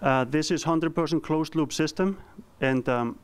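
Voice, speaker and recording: A man in his thirties from Iceland with an Icelandic accent, giving a conference presentation with a handheld mic, earnest and halting with frequent ums. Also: a little high, clean tone. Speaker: company founder giving a presentation. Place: conference room.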